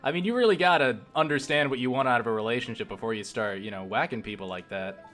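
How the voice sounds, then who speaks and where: A man's voice: medium pitch, quick pace, a bit bright. A man doing casual, rambling, joking video game commentary as a co-commentator, with frequent swearing. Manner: profane, riffing, rambling. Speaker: let's play co-commentator. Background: video game music.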